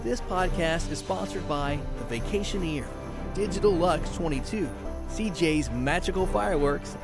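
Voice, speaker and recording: A man reading scripted podcast credits and sponsor messages as an announcer. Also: sits high. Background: loud music.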